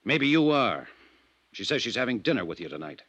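A man's voice: mid-range voice, sing-song, brisk, a bit bright, highs cut off. An actor playing a bitter, defensive businessman under suspicion, in earnest 1950s radio drama style. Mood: bitter, resigned.

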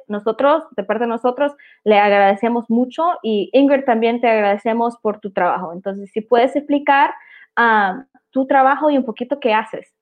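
A tinny, phone-like recording of a woman with a fairly high voice. She hosts a casual, chatty interview and slips English words into her Spanish. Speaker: host interviewing a guest. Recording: tinny, phone-like call audio.

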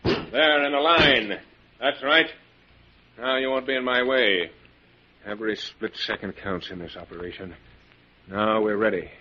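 An actor playing an imperious, murderous mad professor in a theatrical, commanding 1940s radio style. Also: male voice, fairly low pitch, steady tone, a little slow, pauses often, lightly acted. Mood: menacing, triumphant. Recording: muffled, noisy 1940s radio recording.

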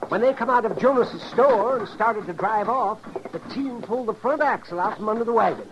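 An actor playing an excitable, folksy town doctor in a 1950s radio western, calling out in agitation. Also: male voice, high-pitched, a little fast, lightly acted. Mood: urgent. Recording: muffled, noisy old radio recording.

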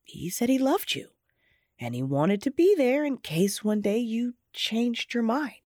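A woman playing a wise, gentle imaginary friend in an audio fairy tale, patiently explaining in a husky, theatrical way. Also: fairly high pitch, sing-song, a little slow, no echo, studio quality. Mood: kindly.

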